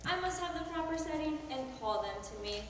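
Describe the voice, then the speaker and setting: An actress playing a pompous fake medium in a hall. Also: female voice, sits high, lively intonation, a little fast. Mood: grand. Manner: grand, theatrical. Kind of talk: amateur stage acting. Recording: echoey hall from far off, noisy.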